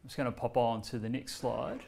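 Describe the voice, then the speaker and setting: Relaxed New man presenting a demo. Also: medium pitch, gravelly, breathy edge. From New Zealand. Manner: relaxed, conversational, many fillers and pauses; standing, walking between screen and laptop. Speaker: presenter demonstrating a learning tool. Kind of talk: informal workshop demonstration. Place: bright medium-sized meeting room with a screen, indoors. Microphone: far camera.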